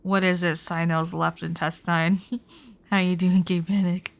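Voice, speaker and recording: A woman's voice: fairly low pitch, little pitch movement, unhurried, gravelly, slight background noise. A young woman livestreaming a game, chatting casually and reacting in an informal, giggly, sweary way.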